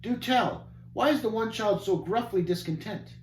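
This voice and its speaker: A man's voice: high-pitched, normal speed, voice pushed up, lightly acted. An earnest, wondering pilgrim with a raised voice and old-fashioned speech, as a man puts him on for a storybook. He leans into his questions. The room is echoey with a faint hum. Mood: indignant.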